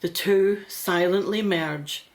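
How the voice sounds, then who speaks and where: A woman's voice: fairly low pitch, slow, poor audio. A woman reading scripted narration for a radio drama as its narrator.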